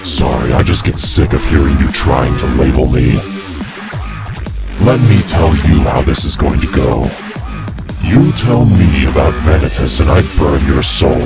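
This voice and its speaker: Super deep growly skeleton bounty hunter, gruff n menacing, audio drama, music under it. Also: male voice, full character voice. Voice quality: deep, gravelly. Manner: gruff, threatening. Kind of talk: amateur audio drama acting. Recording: music behind the voice.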